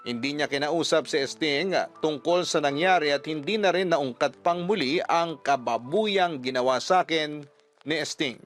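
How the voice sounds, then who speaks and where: A man's voice: fairly high pitch, moderate pace, fairly bright tone, soft background music. A man reading a scripted first-person story as a radio-style storyteller.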